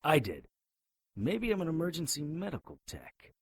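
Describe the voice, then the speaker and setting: Husky, blunt detective hero. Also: male voice, on the high side, huge pitch swings, normal speed, no echo, clean studio sound, heavily acted. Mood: defiant. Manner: blunt, wry, urgent. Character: gruff, skeptical amnesiac private investigator hero. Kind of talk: audio drama acting.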